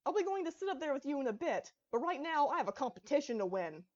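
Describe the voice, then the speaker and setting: A woman's voice: high voice, big pitch swings, fast talker, darkish tone, dull audio, voice pushed up. A woman voicing a brash, competitive female pegasus pony in a story reading. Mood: boastful. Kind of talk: fan fiction story reading.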